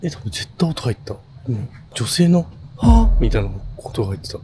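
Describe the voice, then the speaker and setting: A man's voice: mid-range voice, very animated, a little fast, warm tone, slight background noise. A man co-hosting a ghost-spot exploration, talking casually and reacting to his partner. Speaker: co-host of a haunted-spot exploration channel.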